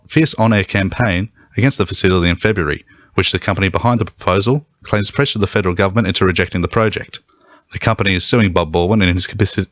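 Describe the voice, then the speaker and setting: A man's voice: lowish voice, no room sound, dull audio. A man with an Australian accent reading scripted radio news.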